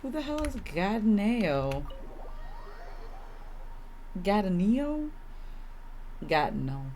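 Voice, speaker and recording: An exasperated streamer talks through a video game in a casual, grumbling way, with a medium-pitched voice and some swearing. Music plays behind the voice, along with the clatter of typing. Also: very animated, very slow, dark tone, slight background noise. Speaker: livestreamer playing a video game.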